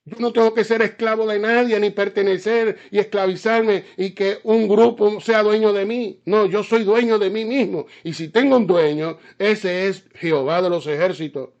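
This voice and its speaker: Fiery high-voiced male preacher. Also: fairly even intonation, normal speed, few pauses. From Caribbean island. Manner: fiery, repeats himself, asks the listener if they understand. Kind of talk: sermon. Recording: slightly dull, lo-fi sound.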